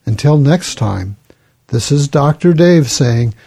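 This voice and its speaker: A man hosts his own podcast in a relaxed, conversational manner. His voice has a gravelly, fry-laden edge with some huskiness. The tone is dark and mellow rather than bright. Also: on the high side, takes it slowly. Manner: relaxed, conversational, reads listener emails aloud. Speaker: podcast host. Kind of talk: casual podcast talk.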